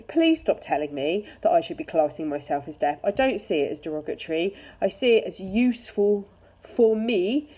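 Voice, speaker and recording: A woman's voice: lowish voice, dark tone, poor audio. A woman talking candidly and casually, giving her personal opinion in a conversational way. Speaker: charity chief executive giving an opinion. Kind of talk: casual opinion talk to camera.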